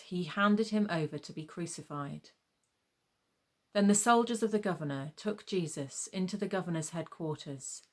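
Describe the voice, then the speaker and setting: A woman reading a scripted gospel narration in a measured, solemn manner. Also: lowish voice.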